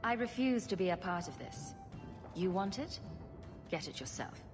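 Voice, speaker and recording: Wry, animated rogue heroine. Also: female voice, on the low side, moderate pace, music in the background. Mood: firm, sardonic. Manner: wry, flippant. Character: sarcastic, quick-witted female rogue adventurer. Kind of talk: video game dialogue acting.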